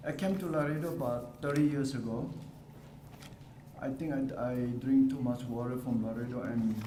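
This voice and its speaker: Mellow middle-aged man, Indonesian accent. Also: medium pitch, moderate pace. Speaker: parent giving a speech. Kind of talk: public speech at a press conference. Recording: echoey room, faint noise.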